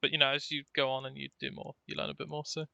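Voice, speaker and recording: A man's voice: a little high, flat delivery, racing, gravelly, sharp tone, highs cut off, slight background noise. A man co-hosting a podcast in casual chat, talking in an informal, rambling, anecdotal way. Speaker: podcast co-host.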